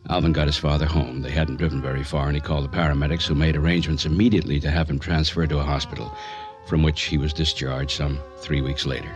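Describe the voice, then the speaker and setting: A man's voice: rumbling low, steady tone, quick pace. A man playing a sober psychiatrist narrating a case in a radio drama. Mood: grave, measured. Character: sober male psychiatrist recounting a patient's case. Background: music.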